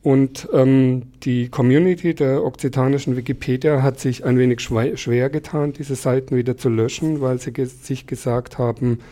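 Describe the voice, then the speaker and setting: A man in his forties whose first language is Alemannic, a podcast guest explaining things calmly with frequent pauses and fillers. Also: medium pitch. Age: 40s. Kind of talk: conversational interview.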